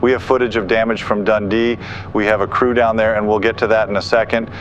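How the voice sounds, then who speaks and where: A man's voice: fairly low pitch, flat delivery, fast talker. A man giving urgent live tornado coverage as a weather forecaster, grave, insistent and speaking off the cuff. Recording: dull muffled audio with faint noise.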